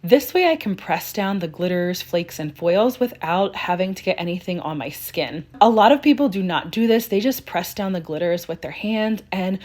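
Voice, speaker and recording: A young woman, slightly raspy because she is sick, hosting a chatty, self-deprecating nail tutorial voice-over. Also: lowish voice, animated, normal speed, few pauses, darkish tone. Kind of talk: casual how-to voice-over.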